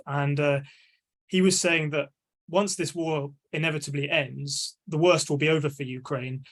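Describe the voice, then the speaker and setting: A young man answers on a video call in a slightly high voice. He talks in a thoughtful, measured way, often pausing on an um and restarting his phrases. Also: a bit bright. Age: young adult. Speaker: panelist, media analyst. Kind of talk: discussion panel answer.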